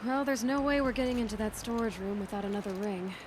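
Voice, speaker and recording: An actress playing an inquisitive young huntress in a video game, speaking in a direct, matter-of-fact, slightly dry way. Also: female voice, on the high side, fast talker, background noise, lightly acted. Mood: dry, practical. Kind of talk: naturalistic video game dialogue.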